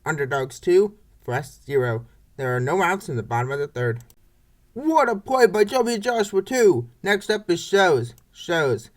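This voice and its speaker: Clipped baseball announcer with swooping pitch. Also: sing-song, a little slow. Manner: short clipped announcer phrases, repeated score calls. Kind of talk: scripted sports commentary for a comic dub.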